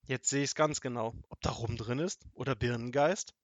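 A man voicing a gruff, vengeful middle-aged robber in a storybook reading, growling and boastful, with loud threats. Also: medium pitch, steady tone, normal speed, dry recording, close to an everyday voice. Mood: gloating. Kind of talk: storybook audiobook acting.

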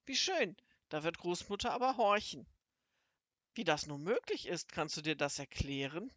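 A woman's voice: on the low side, animated, moderate pace, fairly bright tone, dry recording. Eager young boy sidekick for children's audiobook, played by a woman. Wide-eyed, swooping delivery. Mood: delighted, wondering. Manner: exclaiming, eager.

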